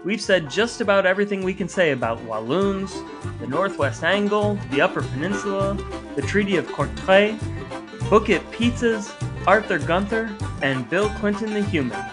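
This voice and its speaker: A man's voice: high-pitched, lively intonation, moderate pace, over music. A man hosting a casual podcast, chatting and addressing the listeners. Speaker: podcast host. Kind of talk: casual podcast banter and sign-off.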